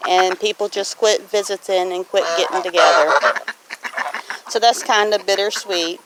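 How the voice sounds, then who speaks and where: Plainspoken woman with clear outdoor noise behind. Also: mid-range voice. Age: middle-aged. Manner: plainspoken, folksy, reflective. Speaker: homesteading vlogger. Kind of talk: casual question-and-answer vlog monologue. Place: outdoors on a farm homestead. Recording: outdoor noise with clicks, farm geese honking. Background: farm animals, geese honking.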